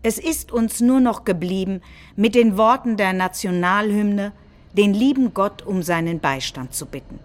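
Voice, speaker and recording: A woman's voice: medium pitch, a little slow, natural voice. A woman playing a combative nationalist head of government, delivering a political speech in a staged reading, solemn and declamatory.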